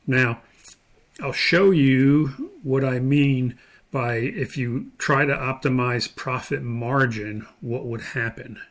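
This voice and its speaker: Gravelly-voiced man giving a tutorial. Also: mid-range voice, slow pace. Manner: explanatory, thinking aloud step by step. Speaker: instructor. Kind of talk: screen-recorded software tutorial, unscripted explanation.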